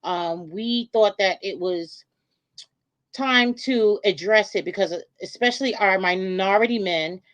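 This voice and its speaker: Relaxed woman guest on a podcast. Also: mid-range voice, a little slow. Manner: relaxed, affirming, filler-heavy. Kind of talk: casual chat.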